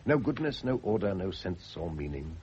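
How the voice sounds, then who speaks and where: A man's voice: deep voice, sing-song, normal speed, a little mellow. An actor playing a wealthy, brooding, urbane Englishman in a radio drama, with a British accent and a theatrical, educated delivery. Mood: bitter self-reproach. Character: wealthy, self-reproaching married Englishman, brooding yet urbane. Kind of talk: mid-century radio drama acting. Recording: dull old radio recording, faint noise.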